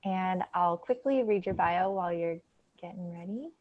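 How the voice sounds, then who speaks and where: A woman's voice: lowish voice, moderate pace. Upbeat woman host, dull lo-fi audio. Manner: upbeat, friendly. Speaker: event host. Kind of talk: introducing presenters.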